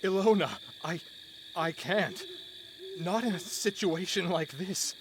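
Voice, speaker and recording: A man's voice: sits high, slow, a little hiss or hum. A man playing an anguished, pleading young man in a fantasy visual novel, with night crickets and owls behind him. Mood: desperate pleading. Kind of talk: dramatic visual novel voice acting.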